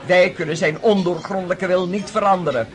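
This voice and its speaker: A woman playing a blunt, bossy older aristocratic matron in a radio play, gruff and authoritative with dry humour. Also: lowish voice, a little fast, close to an everyday voice. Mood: scolding, stoic. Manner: gruff, authoritative, dryly humorous.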